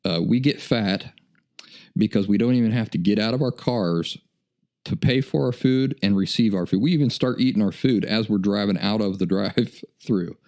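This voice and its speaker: A man's voice: on the low side, normal speed, vocal fry, darkish tone. An American man hosting a podcast, telling stories in a folksy, self-deprecating way. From United States. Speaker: podcast host. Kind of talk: casual storytelling monologue.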